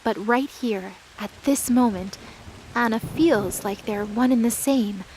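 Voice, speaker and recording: A woman's voice: a little high, unhurried, a little mellow, slight background noise, rough recording. A woman reading scripted, dramatic story narration as the narrator of a fantasy drama.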